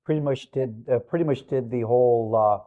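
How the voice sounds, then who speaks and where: A man from Honduras in his forties, a business-owner guest who talks in a halting way with many fillers. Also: mid-range voice, narrow, tinny audio. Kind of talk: casual interview chat.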